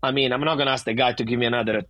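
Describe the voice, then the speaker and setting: Husky-voiced Italian-accented man. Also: mid-range voice, very fast, no room sound. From Italy. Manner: animated, emphatic, frequent restarts. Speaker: bar owner guest. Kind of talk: casual interview chat.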